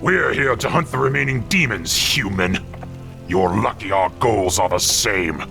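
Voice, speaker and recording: Gravelly, gruff orc warrior. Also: male voice, fairly low pitch, measured pace, faint music behind, full character voice. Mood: scornful. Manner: gruff, forceful. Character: gruff, combative male orc warrior, scornful of humans. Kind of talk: fantasy game cutscene acting.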